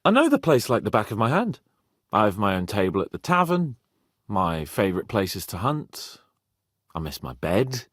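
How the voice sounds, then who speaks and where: A man's voice: lowish voice, huge pitch swings, normal speed, fairly bright tone, no room sound, studio quality, heavily acted. An actor playing a brash young medieval nobleman in a video game, with a British accent and a witty, teasing, haughty manner. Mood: wry, casual.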